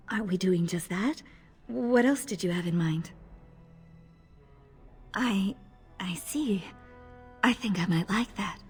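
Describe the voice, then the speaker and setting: A woman playing a modest, gentle elven lady-in-waiting in a video game, speaking politely and deferentially. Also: medium pitch, lightly acted. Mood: coy. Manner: polite, deferential, soft-spoken. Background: music.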